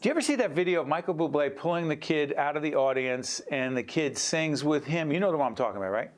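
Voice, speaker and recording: A man's voice: on the high side, expressive, quick pace. Talk-show host from New Jersey, male; jokey, rambling, restarts his questions. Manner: chatty, jokey, restarts and digresses. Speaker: interview host. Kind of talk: casual interview chat.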